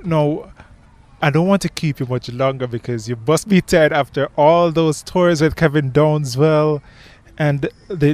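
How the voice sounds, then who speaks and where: Male radio interviewer, Jamaican accent, average pitch, posing questions to a guest. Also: darkish tone.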